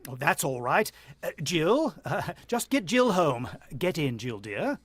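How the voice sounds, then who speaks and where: A man's voice: on the high side, very animated, unhurried, fairly bright tone, dry recording, heavily acted. An actor playing a worried, watchful country father who also narrates, in the style of a vintage radio drama. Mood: tense, polite.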